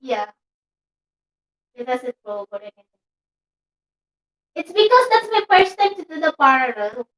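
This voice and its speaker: A young woman with a Filipino accent and a high voice chats on a couple's vlog. She is playful and giggly. She drops into Tagalog now and then. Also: big pitch swings, measured pace, long pauses, fairly bright tone, poor audio. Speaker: vlogger. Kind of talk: casual chat.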